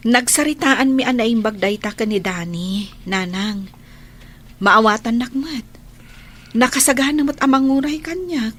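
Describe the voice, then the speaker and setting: A woman's voice: fairly high pitch, a little slow, a bit bright. A woman with an Ilocano accent playing a caring daughter in her thirties in a radio drama. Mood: earnest, reassuring.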